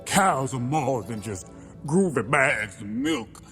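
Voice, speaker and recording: A man's voice: mid-range voice, animated, slow, a bit bright. A man playing a pompous, sentimental crab detective who narrates his log in a grandiose, melodramatic comedy audio drama style. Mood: indignant, sentimental. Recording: music behind the voice.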